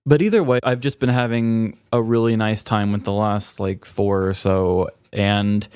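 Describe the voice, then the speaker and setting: A man's voice: fairly low pitch, steady tone, measured pace, darkish tone, clear, resonant tone, no room sound. A man from Missouri with an American accent, chatting and telling stories casually as a comedic podcast co-host. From Missouri, United States. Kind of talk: casual comedic chat and storytelling.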